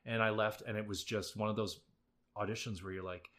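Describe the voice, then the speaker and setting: Young male stage actor, relaxed and self-mocking storyteller, lowish pitch, bright tone. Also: fairly quick. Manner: laid-back, self-deprecating, chatty. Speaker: musical theatre actor, guest. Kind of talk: casual storytelling interview.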